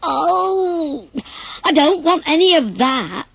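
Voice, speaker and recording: A woman's voice: high-pitched, sing-song, slow pace, gravelly, fairly bright tone, no room sound, pitched above the performer's own voice, exaggerated voice. A woman voicing a lazy, crafty male pig in a storybook, with exaggerated wheedling and moaning. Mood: excuses, gasping.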